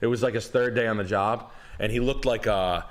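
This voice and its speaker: A man's voice: lowish voice, a little fast. A man, telling rambling, profane stories on a podcast. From New England. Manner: profane, rambling, animated storyteller. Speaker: podcast host. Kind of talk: casual storytelling.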